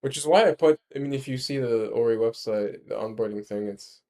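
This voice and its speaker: A man explaining his ideas in a casual discussion, halting and rephrasing emphatically as he goes. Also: medium pitch, warm tone. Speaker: speaker explaining a research ranking system.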